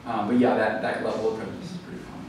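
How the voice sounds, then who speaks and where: A man's voice: on the low side, flat delivery, racing, darkish tone. A man, an author at a library event, answering audience questions in a thoughtful, explanatory way with frequent fillers and restarts. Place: library event hall, recorded from the room. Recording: echoey hall, far from the mic, audible room noise.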